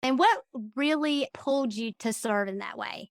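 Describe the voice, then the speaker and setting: A woman's voice: high voice, moderate pace, no echo, studio quality. A woman hosting a podcast, upbeat and encouraging as she interviews a guest. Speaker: podcast host. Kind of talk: scripted intro then casual interview.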